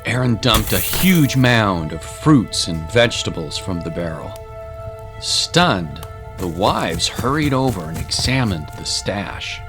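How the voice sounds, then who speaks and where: A man's voice: low-pitched, big pitch swings, takes it slowly, over music. A man narrating a scripted dramatic story.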